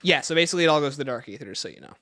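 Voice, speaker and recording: A man's voice: fairly high pitch, animated, racing, pushed and bright. A man hosting a casual livestream, reading out chat questions and answering them conversationally, breaking off to greet and thank viewers. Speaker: livestream host.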